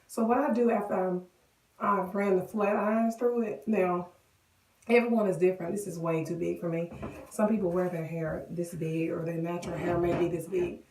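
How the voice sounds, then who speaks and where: A woman with a cold and a hoarse voice, chatting casually to viewers as she walks them through a wig styling tutorial. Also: lowish voice, fairly even intonation, normal speed, darkish tone, far from the mic. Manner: chatty, addresses viewers directly. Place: bathroom. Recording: echoey bathroom, voice off-mic.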